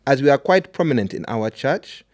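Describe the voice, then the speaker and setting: A man's voice: medium pitch, brisk. A man hosts a casual podcast and talks to his guests with a lot of movement in his voice. He laughs easily and reads out a viewer's letter. He is recorded on a studio mic. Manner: animated, laughs often, reads the letter aloud. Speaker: podcast host.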